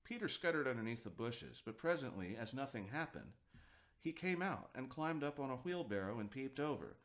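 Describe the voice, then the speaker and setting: A man's voice: medium pitch, very animated, moderate pace, a bit bright. A man narrating a children's picture book as a storyteller, reading expressively for young listeners.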